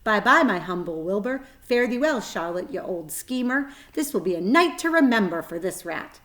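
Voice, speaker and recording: A woman's voice: mid-range voice, very animated, moderate pace, fairly bright tone. A woman voicing a sly, self-serving male rat from a storybook, sneering and boastful. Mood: gleeful, boastful.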